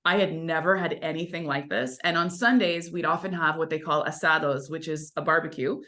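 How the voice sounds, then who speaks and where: Woman guest, native Italian speaker, lowish voice, chatty storyteller. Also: fairly quick. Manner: chatty, animated storytelling with many "like" fillers. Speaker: actor, interview guest. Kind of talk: casual interview chat.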